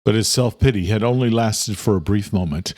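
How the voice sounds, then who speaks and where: A man's voice: lowish voice, fairly quick, a little mellow, no room sound. Man narrating a dramatic thriller audiobook, dry sound.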